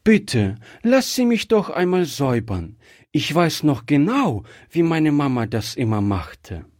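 A man voicing a bright, innocent little girl in a storybook, earnest and inquisitive. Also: a little high, big pitch swings, a little slow, dry recording, clean studio sound, pitched above the performer's own voice. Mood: pleading. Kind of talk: acted storybook dialogue.

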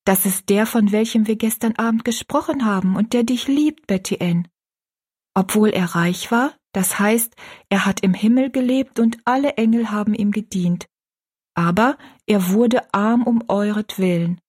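A woman voicing an earnest, pious little girl who gently explains a Bible verse to a friend. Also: medium pitch, moderate pace, breathy edge, pitched above the performer's own voice, lightly acted.

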